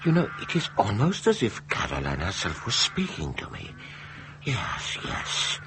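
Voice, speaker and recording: An actor playing a haunted, obsessive older husband in a radio drama, with a gravelly, anguished voice. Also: male voice, on the low side, wildly swooping pitch, unhurried, noisy background. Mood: haunted, hushed.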